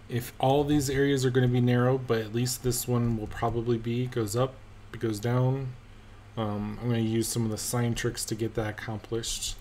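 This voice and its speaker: A man narrating gameplay as a let's play host, relaxed and thinking aloud with many pauses and ums. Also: medium pitch, a little hiss or hum. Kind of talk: casual game commentary. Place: at a computer, voice-over of gameplay.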